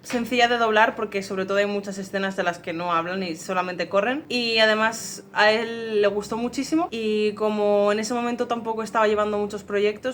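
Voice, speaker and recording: A woman's voice: medium pitch, a little hiss or hum. A woman with a Castilian Spanish accent, a dubbing director giving an unscripted behind-the-scenes account. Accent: Castilian Spanish from Spain. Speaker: fandub director and voice actress.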